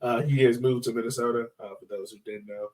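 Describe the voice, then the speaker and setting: A man's voice: mid-range voice, fairly even intonation, fast, darkish tone. A man hosting a casual sports podcast, talking in a chatty, informal way.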